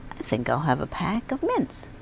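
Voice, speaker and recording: Woman as shy, polite storybook man: earnest, emphatic, lively swings in pitch, slightly creaky. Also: normal speed, slight background noise, exaggerated voice, low-quality recording. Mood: polite, friendly. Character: shy lonely man, brightening with wonder and politeness. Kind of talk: storybook character voice.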